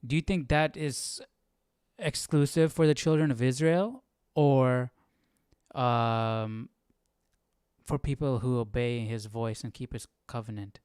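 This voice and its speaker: Male livestream study host, clear mid-pitched voice, casual and musing, with searching questions. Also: fairly even intonation, takes it slowly, darkish tone, dry recording, clean studio sound. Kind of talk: casual, thinking-aloud chat.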